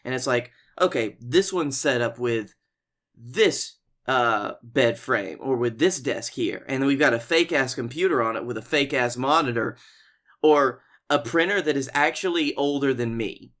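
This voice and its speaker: A man's voice: mid-range voice, moderate pace, a bit bright. An American man with a mid-range voice, co-hosting a comedy podcast. He tells stories in a loose, rambling, conversational way and swears casually along the way. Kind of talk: casual comic storytelling chat.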